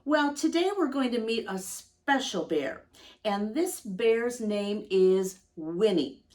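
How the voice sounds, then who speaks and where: A woman hosting storytime for young children, reading aloud and speaking directly to them with gentle questions and simple explanations. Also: fairly high pitch, distant mic. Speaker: children's storytime host and reader.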